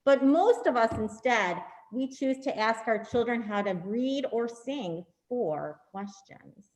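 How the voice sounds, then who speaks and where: Woman, high swooping voice, playful bragging, amateur comic script, echoey room. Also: expressive, slow. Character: boastful, popular holiday. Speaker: performer in a congregation's reader's theater.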